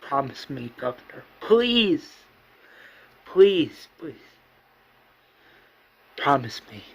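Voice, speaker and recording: A man voicing a grieving young mother in a zombie apocalypse town, halting and imploring as he repeats himself. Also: mid-range voice, fairly even intonation, darkish tone, dull audio. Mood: pleading, tearful.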